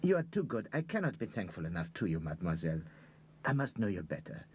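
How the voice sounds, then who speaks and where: A man voicing a refined widow with a French accent, who speaks in a gracious, breathy, courteous way. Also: sits low, very animated, fast, highs cut off, slight background noise.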